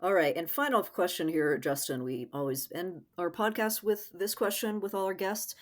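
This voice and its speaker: A woman's voice: lowish voice, moderate pace. An American woman co-hosting a podcast, chatting casually and asking questions. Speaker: podcast co-host. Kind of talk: casual interview chat.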